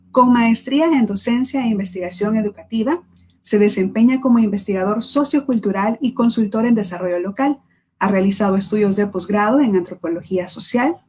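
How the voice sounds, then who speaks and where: A woman's voice: mid-range voice, warm tone. Woman reading formal introductions. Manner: measured, formal, with small self-corrections. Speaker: event presenter.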